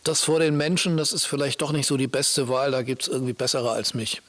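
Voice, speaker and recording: A man's voice: medium pitch, brisk, fairly bright tone. A man talking casually and informally about Bible stories, often searching for his words as he explains. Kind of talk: casual conversational Bible discussion.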